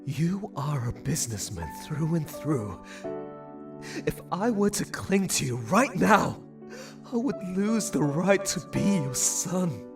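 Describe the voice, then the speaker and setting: Someone doing proud anguished young son, slow dramatic dub, kinda far from mic, loud music behind. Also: mid-range voice, big pitch swings.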